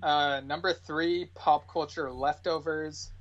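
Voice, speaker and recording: Slightly dull, lo-fi audio of a man with a moderately high voice. He talks as a guest in a casual podcast chat, plain and matter-of-fact, often a little tentative. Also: steady tone, takes it slowly, fairly bright tone.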